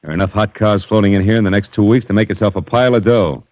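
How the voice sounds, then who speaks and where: Man as racket boss, lowish voice, slick, commanding, menacing, 1950s radio drama, dull dry recording. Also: very fast, darkish tone, no echo, lightly acted. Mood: firm, threatening. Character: domineering, menacing male boss of a car-theft gang.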